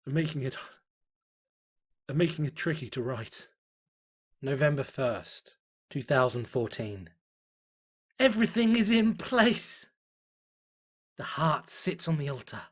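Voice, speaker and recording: A man voicing a fanatical cult diarist, reverent and zealous, in a solemn, deliberate horror story narration. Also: on the high side, slightly rough. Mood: tense, reverent.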